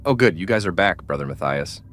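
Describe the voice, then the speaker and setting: A man playing a helpful starship doctor in an amateur sci-fi drama, talking through his work in a measured way. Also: deep voice, expressive, a bit bright, slight background noise. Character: obliging male starship doctor working the data displays.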